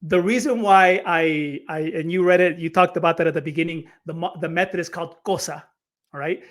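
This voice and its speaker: High-voiced man explaining with energy. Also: fairly quick. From Chile. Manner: energetic, explanatory, repeats words when starting phrases. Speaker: storytelling coach. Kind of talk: podcast interview.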